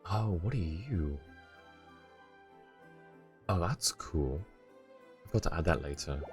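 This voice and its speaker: A man's voice: low voice, animated, takes it slowly, soft background music. A man live-streaming a video game, chatting casually with viewers as he plays. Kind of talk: casual chat while playing a game for viewers.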